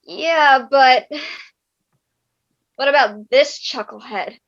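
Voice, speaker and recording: A woman's voice: high voice, slow, fairly bright tone, muffled recording. A woman playing a bitter, sarcastic young wife in a comic staged reading, with melodramatic exasperation. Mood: despairing.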